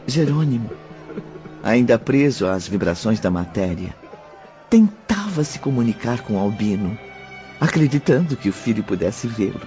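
A man's voice: medium pitch, big pitch swings, normal speed, warm tone, lo-fi sound, soft background music, close to an everyday voice. A man playing the sombre storyteller of a radio drama, narrating in a scripted dramatic style. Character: sombre third-person storyteller.

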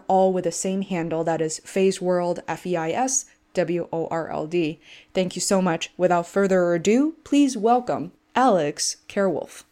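A woman's voice: lowish voice, warm tone. A woman from China, English her second language, hosting a podcast with an enthusiastic, earnest manner. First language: Chinese. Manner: enthusiastic, earnest, with restarts in chat. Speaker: podcast host. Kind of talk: scripted intro then interview chat.

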